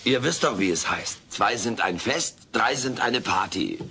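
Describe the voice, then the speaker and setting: A man's voice: lowish voice, lively intonation, a little slow, gravelly, pushed and bright, slight background noise, exaggerated voice. A man playing a wisecracking, meddling furry alien houseguest in a theatrical, mock-grand manner, in a dubbed sitcom audio play. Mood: jovial, showy.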